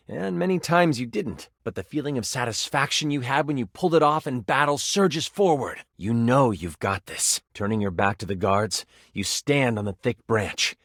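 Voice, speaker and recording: An actor playing an enthusiastic game master, a personified die who narrates tabletop fantasy with theatrical expression and savored suspense. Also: male voice, mid-range voice, fairly bright tone, dry recording, clean studio sound. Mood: hushed, suspenseful.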